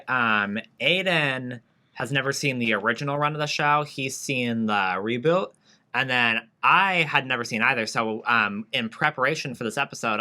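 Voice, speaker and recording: A man's voice: mid-range voice, sharp tone. A young man co-hosting a podcast, retelling scenes in a loose, rambling way. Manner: rambling, many fillers and restarts while retelling. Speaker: podcast co-host. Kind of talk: casual chat.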